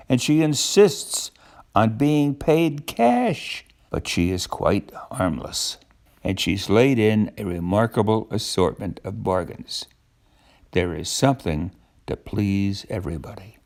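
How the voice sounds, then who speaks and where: Older man, children's storybook narrator, slow delivery, creaky gravelly voice, expressive. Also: fairly low pitch.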